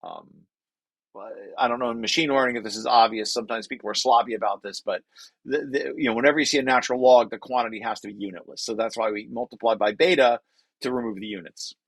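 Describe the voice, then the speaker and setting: Guy doing a technical read-through, mid pitched, informal, keeps restarting his asides, dry sound no room. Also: steady tone, gravelly, husky, no room sound. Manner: informal, halting asides with repeated restarts between read passages. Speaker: presenter reading and explaining a research paper draft. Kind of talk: technical walkthrough, reading aloud with off-the-cuff explanations.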